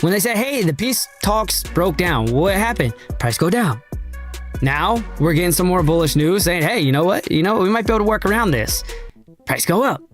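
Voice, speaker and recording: Man, hoarse and raspy, slangy livestream host, slightly high-pitched, soft music underneath. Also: expressive, moderate pace. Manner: slangy, hyped, addresses chat directly. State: hoarse from a cold. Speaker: crypto trading livestream host. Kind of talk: casual livestream market talk.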